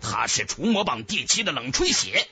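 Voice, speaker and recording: A man's voice: mid-range voice, sing-song, moderate pace, pushed and bright, breathy edge, faint music behind, exaggerated voice. A man voicing a scheming young martial rival in a fantasy audiobook, accusatory and goading. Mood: accusing. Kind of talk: dramatic fantasy audiobook dialogue.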